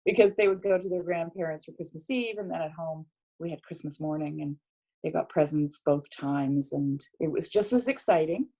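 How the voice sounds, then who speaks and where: Woman, middle-aged, casual talk, hesitant, lots of fillers, lowish mellow voice. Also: normal speed, low-quality recording. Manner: reflective, hesitant, many fillers and restarts. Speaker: podcast guest. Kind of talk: casual conversation.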